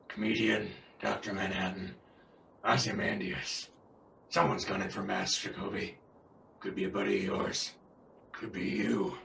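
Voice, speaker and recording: A man playing a grim masked vigilante in a comic drama, growling in clipped, terse fragments with a gravelly voice. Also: lowish voice, little pitch movement, measured pace, pauses often, a bit bright, roomy sound, far from the mic, a little hiss or hum, exaggerated voice. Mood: menacing. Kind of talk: dramatized comic adaptation acting.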